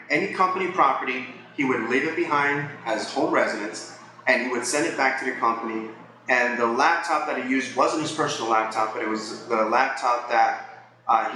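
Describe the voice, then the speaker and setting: A man's voice: medium pitch, noisy background. A man giving casual, explanatory advice to viewers in a conversational way, as a vlogger. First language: English. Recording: echoey space with crowd chatter behind.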